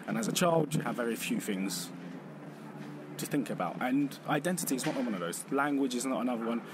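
A man speaking reflectively outdoors, pausing and correcting himself as he talks. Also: medium pitch, lively intonation. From Vietnam. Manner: thoughtful, pausing, self-correcting. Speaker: exhibition contributor. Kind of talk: reflective personal testimony. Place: outdoors on a city street. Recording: noisy street with traffic.

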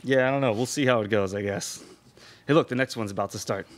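A man's voice: mid-range voice, fast, natural voice. Young man playing rambling, musing moviegoer. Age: young adult. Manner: casual, rambling. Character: musing young male moviegoer given to existential questions. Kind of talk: student radio theatre dialogue. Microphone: microphone on stand.

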